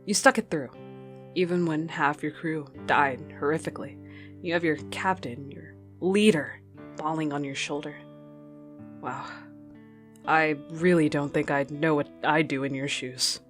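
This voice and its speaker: A woman's voice: sits low, fairly even intonation, a little slow, soft background music. A woman playing a stubborn, protective captain of a space voyage in an earnest radio drama performance. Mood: tender.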